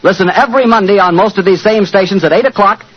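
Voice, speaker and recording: A man's voice: high voice, fast talker. A man with an American accent, announcing and reading sponsor copy as a 1940s radio host. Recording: dull lo-fi 1940s radio recording.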